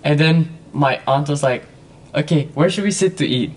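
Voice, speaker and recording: A young man from Bulgaria, a guest in a casual podcast chat, speaks thoughtfully and pauses to find his words. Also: a little high. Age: young adult.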